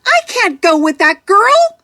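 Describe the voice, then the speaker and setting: A woman's voice: very high-pitched, very animated, measured pace, bright, forceful tone, voice pushed up, heavily acted. A woman voicing a small young male fish, plucky and childlike, in a children's storybook. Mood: upset. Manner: exclaiming, childlike.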